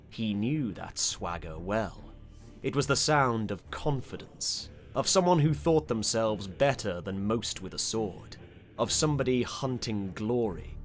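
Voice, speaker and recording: A man narrating a fantasy audiobook in a measured, deliberate and dramatic style. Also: lowish voice, a bit bright.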